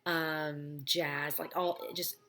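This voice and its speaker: A woman in her thirties with a raspy, low voice, chatting casually at home about her life and answering viewer questions with many ums. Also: flat delivery, extremely slow, bright, forceful tone, slight background noise. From United States. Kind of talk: casual chat. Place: home, child heard playing in background.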